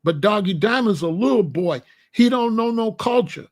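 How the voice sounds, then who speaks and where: A man with a gravelly, somewhat high voice talks bluntly through a casual monologue. His is slangy and repetitive, and he keeps asking whether you follow him. He is confrontational and plain-spoken. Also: animated, normal speed. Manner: repetitive, keeps asking you know what I'm saying. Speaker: commentary channel host.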